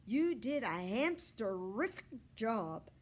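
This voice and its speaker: A woman's voice: on the high side, big pitch swings, slow, voice pushed up, heavily acted. A woman voicing a small, supportive pet hamster with an emphatic, chirpy manner in a storybook. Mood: proud, supportive. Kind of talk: children's storybook read-aloud.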